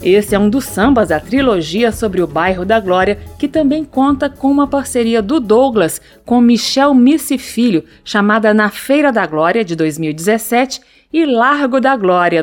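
Lady radio host introducing tracks, even mid-pitch voice, bit of music under it. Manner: measured, informative presenter tone. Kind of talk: scripted radio announcing.

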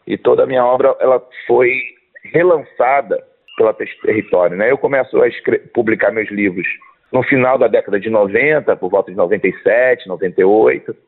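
A man's voice: fairly low pitch, fairly quick, slightly rough. A man in his fifties, a songwriter and author, answering interview questions in a hesitant, casual way, often restarting and tagging lines with a question. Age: 50s. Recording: tinny phone line.

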